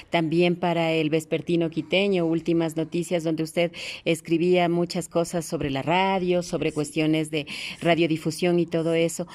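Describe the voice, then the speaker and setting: A woman's voice: on the low side, darkish tone. A woman asking respectful, formally worded interview questions at some length.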